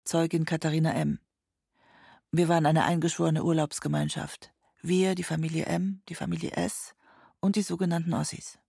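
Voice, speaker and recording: A woman's voice: low-pitched, flat delivery, moderate pace, gravelly, mellow, slightly rough, no echo, studio quality, exaggerated voice. An actress playing a laconic young woman giving matter-of-fact court testimony in a documentary radio play. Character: young woman witness, laconic daughter of holidaying family.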